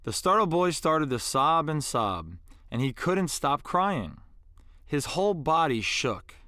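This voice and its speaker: A man presenting and reading an inspirational story aloud in an earnest, deliberate way with dramatic pauses. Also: a little high, big pitch swings, sharp tone, no room sound, clean studio sound.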